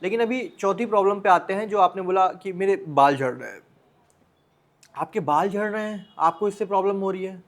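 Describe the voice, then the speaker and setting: An Indian man with a notably high-pitched voice gives informal advice as a vlogger. He speaks bluntly and directly to the listener, often repeating phrases and posing rhetorical questions. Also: moderate pace. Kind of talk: informal motivational advice talk to the viewer.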